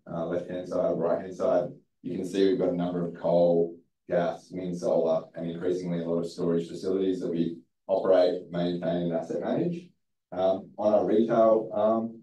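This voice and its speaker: An Australian man from Western Australia giving a seminar presentation in a low, mumbly voice, relaxed and full of ums. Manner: relaxed, many ums and restarts. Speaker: guest presenter. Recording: echoey room, distant from the mic.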